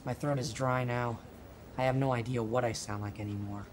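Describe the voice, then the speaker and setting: An actor playing a troubled teenage high school boy in an amateur radio drama, slangy and dramatic in delivery. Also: fairly even intonation, brisk, a little hiss or hum, close to an everyday voice. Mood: weary, despairing.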